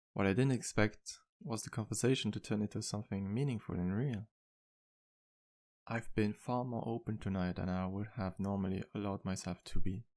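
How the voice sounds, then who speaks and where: Sweet, courteous male vampire barkeep played by a man for ASMR roleplay: deepish, heavy vocal fry, intimate and sincere. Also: close to an everyday voice. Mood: warm, sincere. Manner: soft-spoken, polite, earnest, flirtatious. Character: charming, sweet male vampire bartender, earnest and wistful. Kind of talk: ASMR roleplay, intimate one-sided dialogue to listener.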